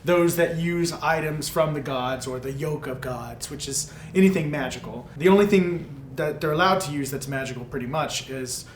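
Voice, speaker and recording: A man, a tabletop player, casually and haltingly describing his game character. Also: fairly high pitch. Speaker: tabletop role-playing player. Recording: echoey room with faint noise.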